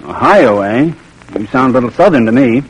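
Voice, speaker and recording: An actor playing a brisk, suspicious army sergeant in an old radio drama, with a clipped, commanding manner and rough voice. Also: male voice, mid-range voice, lively intonation, rough recording. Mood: stern, suspicious.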